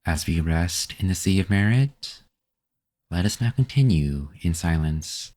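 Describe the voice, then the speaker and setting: A man with a very deep, soft-edged, mellow voice guides a meditation. He reads scripted instructions calmly and gently. His phrasing is measured, with pauses that leave room to follow along.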